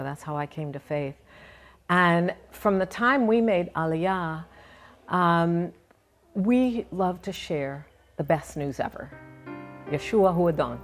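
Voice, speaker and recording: Earnest woman sharing her faith story. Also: on the low side. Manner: earnest, conversational, devout. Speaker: guest. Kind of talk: interview, personal testimony.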